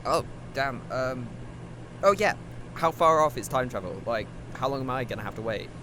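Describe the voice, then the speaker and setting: A man in an audio drama playing a naive, incredulous man questioning his future self in halting phrases. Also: medium pitch, pushed and bright, a little hiss or hum, lightly acted. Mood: curious.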